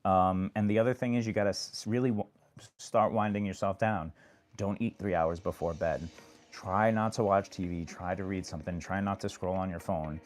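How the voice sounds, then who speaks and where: A man from New York hosting a late-night phone livestream, giving earnest advice to viewers in a monotone way. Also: deep voice, moderate pace. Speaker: livestream host. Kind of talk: casual live chat answering viewers.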